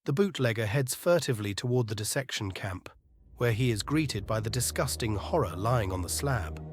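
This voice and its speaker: Mid-pitched man narrating an audio drama. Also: expressive, normal speed, husky. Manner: literary, atmospheric storytelling.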